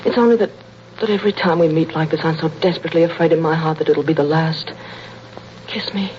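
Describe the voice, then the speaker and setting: A woman's voice: deep voice, fast talker, darkish tone, close to an everyday voice. Female spy posing as a baroness, ardent and theatrical, played by an actress; muffled, hissy vintage radio drama. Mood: anguished, tender. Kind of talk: 1930s radio melodrama acting. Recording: muffled, noisy old radio broadcast.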